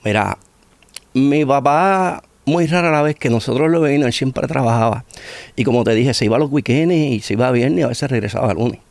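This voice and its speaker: A man's voice: medium pitch, normal speed, gravelly, a little mellow, no echo. A middle-aged man with a Puerto Rican accent telling his personal testimony in conversation, repeating phrases for emphasis. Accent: Puerto Rican Spanish. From Puerto Rico. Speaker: interview guest giving testimony.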